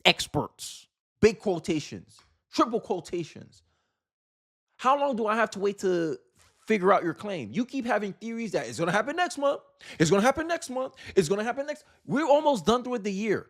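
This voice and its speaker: A man's voice: high voice, very animated, normal speed. A man giving casual, opinionated political commentary in an incredulous, rhetorical way, repeating phrases for emphasis.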